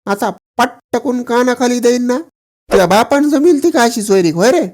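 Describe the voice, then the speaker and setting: A man's voice: very high-pitched, moderate pace, darkish tone. Man acting a cartoon village dad, short-tempered, sarcastic, blustering, scornful. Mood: scolding. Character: scolding, mocking village father, short-tempered. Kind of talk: exaggerated comedy cartoon dialogue.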